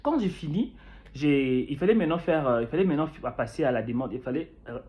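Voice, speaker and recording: A man's voice: fairly high pitch, expressive, fast talker, a little mellow. A young man from Kinshasa with a Congolese French accent, chatting to camera about his experience, with many fillers and restarts. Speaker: YouTuber sharing experience. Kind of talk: casual talk to camera. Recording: dull lo-fi sound.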